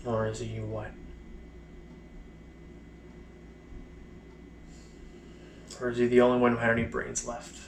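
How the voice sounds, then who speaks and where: A man's voice: mid-range voice, racing, gravelly, slight background noise, lightly acted. A man performing a bitter, sardonic blinded First World War soldier in a dramatic stage monologue with pent-up anger. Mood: bitter, pained. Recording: roomy echo, faint music.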